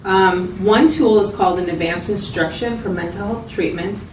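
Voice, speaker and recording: A woman playing a patient, attentive mental health clinician who explains things in a measured way and checks for agreement. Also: mid-range voice, natural voice. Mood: calm explaining. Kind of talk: acted clinical interview, explanatory. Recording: roomy, distant mic, noticeable background noise.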